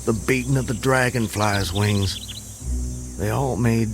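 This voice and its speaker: A man's voice: on the low side, slow pace. An actor playing an earnest, haunted man who escaped his hanging, recounting it in formal, vivid language for an audio drama. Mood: awed, intense. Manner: literary, vivid, formal narration. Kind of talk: audio drama monologue and dialogue. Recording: music under the voice. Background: music.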